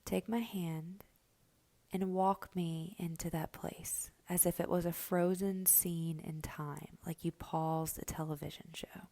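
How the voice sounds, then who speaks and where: Slow, hushed woman guiding a meditation. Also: lowish voice, steady tone. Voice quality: soft, breathy, often near whisper. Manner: gentle, slow, instructing with long pauses. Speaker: guided meditation host. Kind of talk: slow guided meditation narration.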